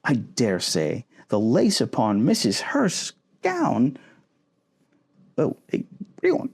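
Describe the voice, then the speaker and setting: An excitable, gossipy middle-aged mother, as a male storybook reader plays her, effusive and dramatic. The pitch swoops widely from line to line, giving every phrase a theatrical lift. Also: slow pace, vocal fry, husky, heavily acted. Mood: gushing, excited. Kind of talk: storybook character acting.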